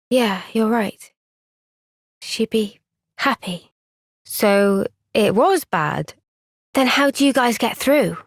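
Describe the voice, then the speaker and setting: A gentle young soldier girl. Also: a little high, dry recording, clean studio sound. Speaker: game character. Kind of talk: game cutscene voice acting. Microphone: close studio mic.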